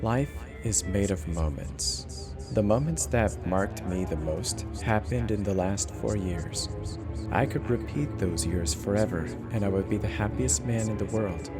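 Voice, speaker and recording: A man performs a heartbroken young man giving his last words in a horror story. The voice is low, subdued and solemn, weighed down with despair. Loud music plays underneath.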